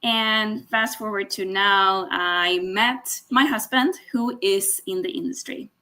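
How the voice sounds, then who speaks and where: A woman's voice: medium pitch, takes it slowly, sharp tone. Woman, hesitant speech. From Sweden. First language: Swedish. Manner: hesitant, many fillers, laughs often. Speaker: guest, sales director. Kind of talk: casual interview.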